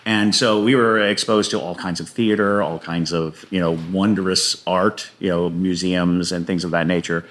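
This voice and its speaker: A deep-voiced man is a guest in a casual interview. He tells personal stories haltingly, doubling back on words as he goes. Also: fairly even intonation, a bit bright.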